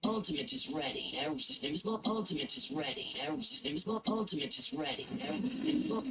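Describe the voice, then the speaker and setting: High, swooping video game male announcer callouts. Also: very animated, fairly quick, fairly bright tone, full character voice. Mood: alert, clipped. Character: video game character announcing weapon and ultimate readiness. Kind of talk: short game voice-line callouts, looped and pitched. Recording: clicks and faint noise, played from editor.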